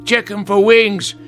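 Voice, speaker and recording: An actor playing a mischievous, cackling old American grandpa in a radio drama, folksy and sardonic. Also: male voice, high-pitched, a bit bright, exaggerated voice. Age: older. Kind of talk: vintage-style radio drama acting.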